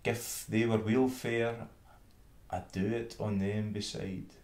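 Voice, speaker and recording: A Scottish man reading an old fable in verse with measured, dramatic storytelling. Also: lowish voice, flat delivery, darkish tone. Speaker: reader. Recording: roomy, echoing space.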